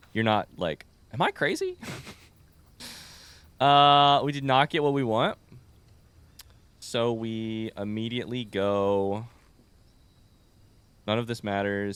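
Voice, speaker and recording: Man, lowish pitch, very expressive intonation, casual gaming commentary, informal, mildly sick voice. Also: clear, resonant tone. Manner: rambling, informal, mild swearing. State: voice affected by being sick. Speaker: video game streamer playing blind. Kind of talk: casual gameplay commentary, thinking aloud.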